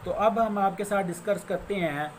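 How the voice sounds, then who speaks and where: A man with a Pakistani accent giving an explanatory law lecture, deliberate and given to restating his phrases. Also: high voice. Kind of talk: explanatory law lecture mixing Urdu with English legal terms. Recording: faint background noise.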